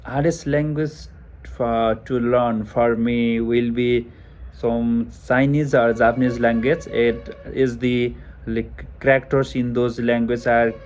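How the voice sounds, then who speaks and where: Hesitant Nepali-accented young man, flat voice. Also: mid-range voice, takes it slowly. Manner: hesitant, many fillers and restarts. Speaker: test taker. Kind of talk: spoken exam practice answers. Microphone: headphone mic over Bluetooth to phone.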